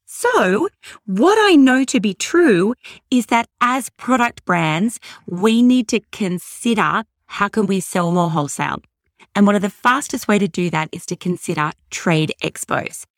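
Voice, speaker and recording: A middle-aged woman hosting a podcast, upbeat, affectionate and a bit salesy, with a voice gone husky from overuse. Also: mid-range voice, expressive, unhurried, no echo, studio quality. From Australia. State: hoarse from overuse. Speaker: podcast host. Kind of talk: podcast monologue.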